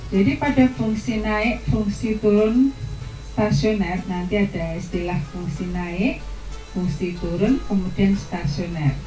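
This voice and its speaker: Mellow woman teaching math, echoey room. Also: medium pitch, unhurried, soft background music. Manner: patient, step-by-step explanation to students. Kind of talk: explaining a math lesson.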